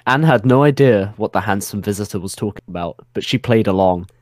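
A man's voice: fairly low pitch, fairly quick, a little mellow, no echo. A man narrating fan fiction as a dramatic reading, giggly and often close to breaking up. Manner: giggly, keeps breaking into laughter.

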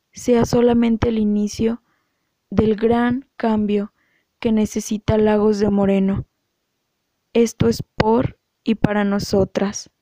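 A woman with a Mexican accent giving an earnest, measured testimony as a guest speaker. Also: mid-range voice, dark tone, dry recording, poor audio. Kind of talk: prepared testimony.

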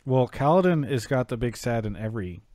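A man's voice: medium pitch, expressive, fairly quick, mellow, dry recording. Guy hosting a gaming call-in show, chatty, mid voice, really dry close sound. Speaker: host of an esports call-in show. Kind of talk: casual banter and call-in hosting.

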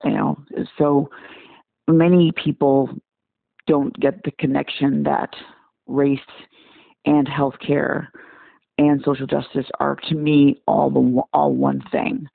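A man in his forties, raised in Congo, speaks as a physician guest with earnest answers that circle back over his words. His voice is gravelly, and it comes through dry and tinny, like a phone call. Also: on the high side, flat delivery, slow, no room sound. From Democratic Republic of Congo. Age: 40s. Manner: earnest, repeats words while searching for phrasing. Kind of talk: podcast interview answers.

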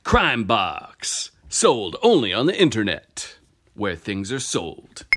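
A man playing an over-excited infomercial announcer in a parody ad, with a hyped, dramatic sales delivery. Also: lowish voice, slow pace, background noise, heavily acted. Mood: hyped salesmanship. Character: over-excited male infomercial announcer pitching a crime subscription. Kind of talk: parody ad read.

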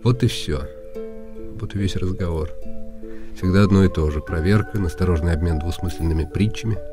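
A man's voice: sits low, a little fast, music in the background, lightly acted. An actor playing a brooding, scornful nobleman in a radio play, bitterly ironic and weary. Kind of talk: radio play inner monologue.

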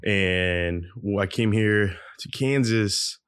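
A man's voice: on the low side, slow, fairly bright tone, clean tone, no echo, clean studio sound. A man in his twenties from Peru, a podcast guest talking openly about his life at home, reflective and full of ums and restarts. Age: 20s. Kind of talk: casual interview storytelling.

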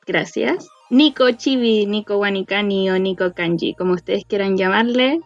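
A woman's voice: medium pitch, normal speed, no echo, faint music behind. A woman hosting the chat, inviting each guest to introduce themselves in a playfully formal way. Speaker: host. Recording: slightly muffled lo-fi mic.

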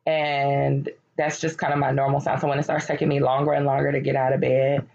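A woman in her late thirties talks candidly and encouragingly on her podcast, her voice hoarse and cracking. It is husky and full of gravelly fry. The recording sounds muffled and lo-fi. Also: very deep voice, flat delivery, a little fast, darkish tone. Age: late 30s. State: losing her voice, slightly cracking. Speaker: podcast host. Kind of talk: personal reflective monologue. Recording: lo-fi, dull recording.

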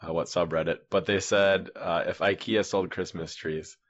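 A man's voice: sits low, steady tone, moderate pace. A man co-hosting a podcast, telling rambling everyday stories in casual chat. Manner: rambling storyteller, acts out dialogue. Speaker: podcast co-host. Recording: dull lo-fi sound.

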